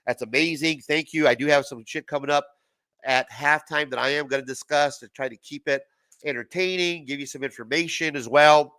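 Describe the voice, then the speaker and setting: A man's voice: a little high, brisk, pushed and bright, no echo. A man in his forties, congested from a cold, giving loose, profane, casual play-by-play commentary on a live stream. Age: 40s. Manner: loose, profane, chatty, laughs at his own jokes. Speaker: sports watch-party streamer.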